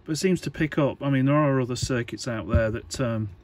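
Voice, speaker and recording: A man giving a casual, unscripted workbench demonstration in halting, hesitant phrases, as an electronics hobbyist would. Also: medium pitch. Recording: clear background noise.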